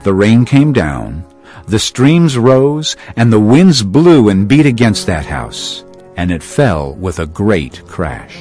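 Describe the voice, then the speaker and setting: Casting: grave, authoritative holy teacher for dramatised scripture; lowish voice, lively inflection, light music behind. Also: male voice, takes it slowly. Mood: solemn, warning. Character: Jesus, solemn authoritative male teacher and healer. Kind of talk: dramatised audio Bible acting.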